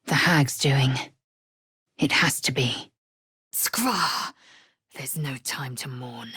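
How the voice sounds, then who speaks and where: A woman's voice: sits low, fairly bright tone, breathy edge, dry recording, clean studio sound, heavily acted. An actress playing a fierce, proud young alien warrior woman in a fantasy video game, speaking in an imperious, clipped, scornful way with formal phrasing. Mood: hushed, grave, then battle cry.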